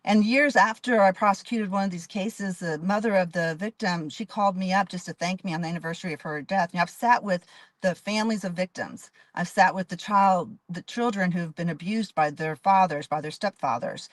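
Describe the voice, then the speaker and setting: A woman's voice: fairly low pitch, normal speed, no echo. A woman in her fifties from Dallas, a judge running for office, giving earnest, detailed interview answers with frequent restarts. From Dallas, Texas. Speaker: judge and candidate.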